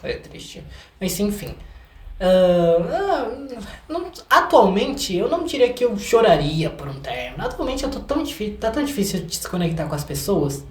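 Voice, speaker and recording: A man's voice: sits high, big pitch swings, moderate pace. A young Brazilian man chatting casually as an interview guest, informal and self-deprecating. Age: young adult. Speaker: interview guest, singer-songwriter. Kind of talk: casual chat. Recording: echoey room.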